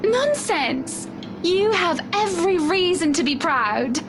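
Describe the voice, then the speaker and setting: A woman voicing a pampered, anxious high-society wife in a visual novel, genteel and dramatic in manner. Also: expressive, slow, heavily acted. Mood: gracious, insistent. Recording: music behind the voice.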